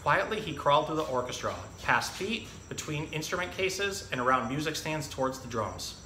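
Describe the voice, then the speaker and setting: A man's voice: on the high side, lively intonation, normal speed, pushed and bright. A man in his thirties talking and reading to children in a casual, explanatory, educational way as a zookeeper presenter. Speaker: zookeeper presenting an animal storytime. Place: indoor room with hard walls and tiled floor. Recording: echoey room, faint background noise.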